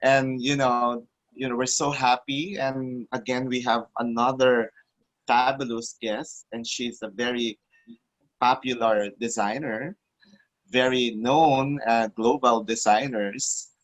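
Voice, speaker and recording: Male host, mid-pitch voice, hesitant and filler-heavy. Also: steady tone, poor audio. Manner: halting, with many fillers. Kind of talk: casual online show hosting.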